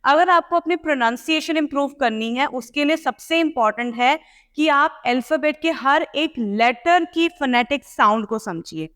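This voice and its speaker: High-voiced Indian woman teacher, echoing room. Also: lively intonation, fairly bright tone. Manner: didactic, deliberate enunciation, rhetorical questions. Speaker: English pronunciation and test-prep teacher. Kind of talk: instructional lesson mixing explanation and read-aloud demonstration. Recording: echoey, reverberant room.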